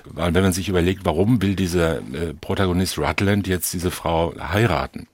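A man's voice: deep voice, moderate pace, no room sound. A man in a conversational film debate, insistently arguing his reading and circling back to repeat key phrases.

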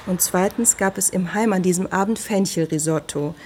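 An actress in a radio drama playing a brisk, frank hospital doctor who talks in a direct, matter-of-fact way. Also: female voice, on the low side, dark tone, slight background noise, close to an everyday voice. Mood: matter-of-fact, concerned.

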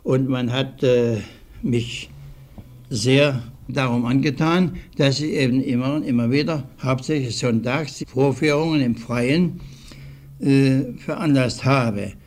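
An older man giving recollections in an interview, speaking haltingly and deliberately with old-fashioned formal phrasing. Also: medium pitch, darkish tone. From southwestern Germany. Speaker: interviewee, early radio hobbyist. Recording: 1950s archival radio recording.

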